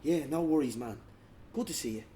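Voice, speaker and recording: A man's voice: a little high, brisk, mellow, slight background noise, natural voice. An actor playing a stocky, matey man in his mid-thirties who is eager to please, in a script read. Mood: tentative. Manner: colloquial, matey. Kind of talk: informal table read of a script.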